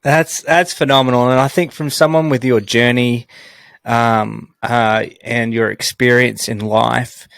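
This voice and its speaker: A man's voice: mid-range voice, little pitch movement, measured pace, gravelly, fairly bright tone, no echo. A man hosting a podcast interview, enthusiastic and full of restarts, speaking into a headset mic. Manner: enthusiastic, many restarts and fillers. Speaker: podcast host.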